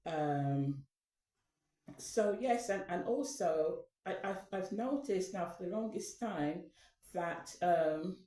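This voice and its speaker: A woman's voice: on the low side, lively intonation, slow pace, dark tone, distant mic. A woman talking casually to her viewers, rambling with many fillers and restarts. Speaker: channel host. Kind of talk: casual spoken talk. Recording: echoey room, away from the mic.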